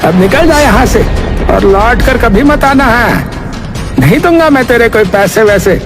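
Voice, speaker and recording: A man playing a greedy, scheming village shopkeeper in a cartoon, with a gravelly voice and exaggerated muttering and grunts. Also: extremely high pitch, lively intonation, measured pace, exaggerated voice. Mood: angry, bullying. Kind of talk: Hindi moral cartoon voice acting. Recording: music behind the voice.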